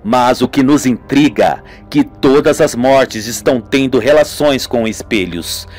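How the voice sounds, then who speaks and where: A man's voice: medium pitch, a little slow, a little mellow, pitched below the performer's own voice, lightly acted. A man voicing an earnest, weary police inspector in an amateur radio soap, over music. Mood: grave, intrigued. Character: weary, earnest male police inspector recounting grim cases.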